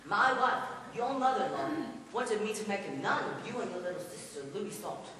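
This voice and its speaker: A woman playing a cranky, bossy old hypochondriac father in an amateur stage comedy, loudly declaiming, recorded from far off in an echoing hall. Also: medium pitch, animated, brisk, close to an everyday voice. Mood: pleased, pompous. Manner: loud theatrical scolding and declaiming. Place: large echoing room at a staged play. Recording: echoey hall from far off, background noise.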